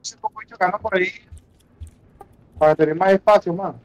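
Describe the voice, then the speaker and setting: A man's voice: high-pitched, fast, muffled recording. A man with a Venezuelan accent giving bossy, slangy callouts to teammates in an online game, often repeating his commands. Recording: music behind the voice. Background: music.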